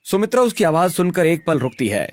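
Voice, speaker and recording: Higher-pitched male story narrator. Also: sing-song, brisk, no echo, faint music behind.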